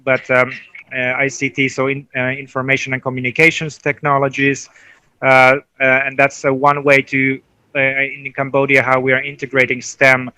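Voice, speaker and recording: A man's voice: mid-range voice, monotone, moderate pace, bright, forceful tone, clear, resonant tone, no echo. A man from Finland speaking on a conference panel as a technical advisor, hesitant with many fillers and restarts.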